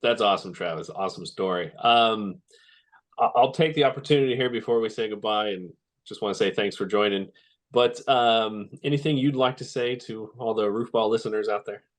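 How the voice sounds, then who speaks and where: A man's voice: fairly low pitch, a little fast. A man hosting a podcast, speaking in a casual conversational style. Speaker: podcast host.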